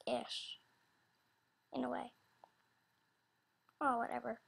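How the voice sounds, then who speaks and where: Female creator, higher-pitched but breathy, casual and scatterbrained, halting delivery for an off-the-cuff audition announcement. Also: little pitch movement, a bit bright. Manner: halting, scatterbrained, self-interrupting. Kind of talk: casual unscripted rambling announcement.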